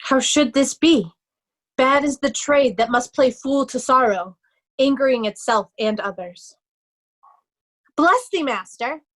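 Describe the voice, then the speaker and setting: A woman's voice: high voice, a little slow, pauses often, a bit bright, low-quality recording. A woman playing a sorrowful young nobleman who feigns a mad beggar, shifting between anguish and singsong madness in a Shakespeare reading. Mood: feigned madness. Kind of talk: remote readers' theatre Shakespeare performance.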